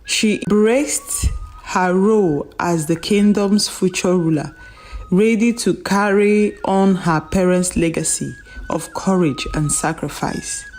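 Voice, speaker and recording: A woman narrating a folktale in a dramatic, solemn and deliberate storytelling manner, repeating phrases for emphasis. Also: lowish voice, a little mellow, a little hiss or hum, poor audio.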